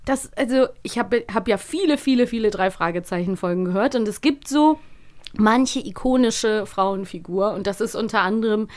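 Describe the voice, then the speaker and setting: A woman's voice: medium pitch, normal speed. A young adult woman co-hosting a casual studio interview, enthusiastic and a little rambling. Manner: enthusiastic, rambling, many fillers. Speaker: podcast co-host.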